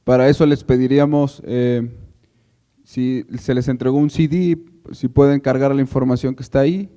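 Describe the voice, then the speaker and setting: A man's voice: medium pitch, fairly even intonation, normal speed, warm tone. A man giving unscripted instructions to attendees as a workshop organiser.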